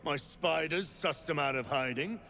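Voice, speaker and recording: A man's voice: fairly high pitch, moderate pace, gravelly, sharp tone, soft background music. A man playing a boastful, cruel dwarf clansman in a fantasy game, with a swaggering, menacing manner.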